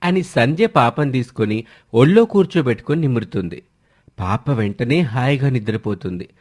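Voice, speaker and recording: A man reads scripted story narration in a medium-pitched voice. The intonation swings widely and keeps the telling animated. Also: moderate pace.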